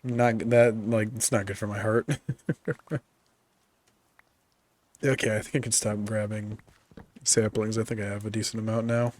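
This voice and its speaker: Low-ish guy with a stuffy cold, chill rambly gaming stream talk, uh-ing a lot. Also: a little slow, long pauses, slight background noise. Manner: relaxed, rambling, frequent fillers and restarts. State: congested from a cold. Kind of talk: casual gaming commentary.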